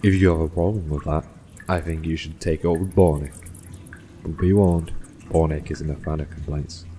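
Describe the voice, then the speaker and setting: An actor playing a stern, commanding general with a measured, sardonic manner in a fantasy audio drama. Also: male voice, extremely low pitch, fairly even intonation, mellow. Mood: dry, menacing. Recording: clear background noise.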